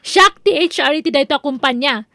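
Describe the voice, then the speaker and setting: A woman's voice: high-pitched, expressive, a little fast, pushed and bright, no room sound, clean studio sound, exaggerated voice. A woman playing a stern bossy HR officer. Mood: scolding. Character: strict haughty young woman HR officer, Joyce. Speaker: actor in audio drama. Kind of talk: Ilocano radio drama acting.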